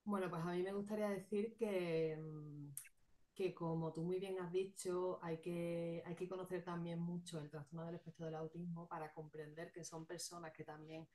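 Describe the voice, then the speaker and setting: A woman psychologist with a regional Spanish accent speaks as an interview guest. Her voice sits a little low, and she explains calmly, often working through lists of points. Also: normal speed. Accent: non-Madrid Spanish. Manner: calm, explanatory, lists things. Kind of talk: casual interview.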